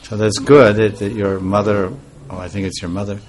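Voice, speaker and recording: A man giving an informal devotional talk as a spiritual teacher, soft-spoken and rambling, with pauses and restarts. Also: lowish voice, little pitch movement.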